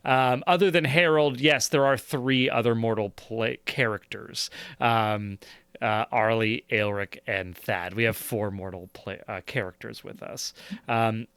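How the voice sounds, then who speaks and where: A man running a tabletop role-playing game as game master, narrating with many pauses and fillers. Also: mid-range voice, fairly even intonation, vocal fry, a bit bright, smooth, no room sound.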